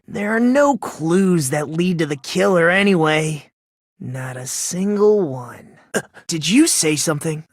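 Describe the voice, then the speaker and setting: A woman with an American accent voicing a polite, soft-spoken young man with an unsettling hopefulness in a video game. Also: on the low side, no room sound, studio quality, full character voice. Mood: dismissive, probing. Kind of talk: English video game voice acting.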